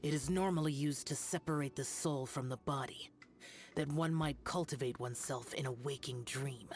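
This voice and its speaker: A man's voice: mid-range voice, moderate pace, lightly acted. A man playing a stern aloof guardian. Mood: cold. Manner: formal, curt, solemn. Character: stern, aloof young male guardian spirit. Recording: game audio under background music.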